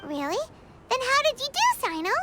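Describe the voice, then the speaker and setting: Casting: excitable floating childlike girl for anime game, sky-high squeaky voice, very animated delivery. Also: normal speed, very noisy, full character voice. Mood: chatty, curious. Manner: perky, exclaiming, refers to self by name. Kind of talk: anime video game voice acting. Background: game music.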